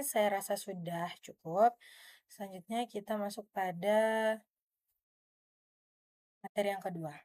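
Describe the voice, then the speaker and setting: A young woman with an Indonesian accent gives an informal tutorial, explaining step by step in a halting way. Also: mid-range voice, darkish tone. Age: young adult. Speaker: student presenter.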